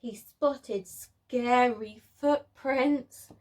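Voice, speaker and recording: Woman, preschool storyteller, high pitch, very slow pace, expressive children's read-aloud. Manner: expressive, simple phrases addressed to small children.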